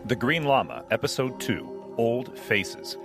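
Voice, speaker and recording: A man's voice: medium pitch, sing-song, slow pace. A man announcing titles and credits for a radio drama in a scripted delivery. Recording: loud music under the voice.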